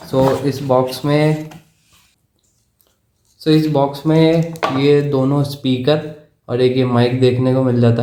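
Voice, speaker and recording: A man giving a casual product explanation in a halting, repetitive way. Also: mid-range voice, mellow, slight background noise. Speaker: product reviewer. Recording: roomy, echoey sound.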